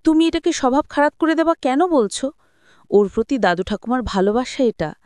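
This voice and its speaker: A woman voicing a principled young married daughter in an audio story, earnest, gently firm and reasoning. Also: sits high, animated, a little fast, dry recording, clean studio sound, pitched above the performer's own voice. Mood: hurt, firm.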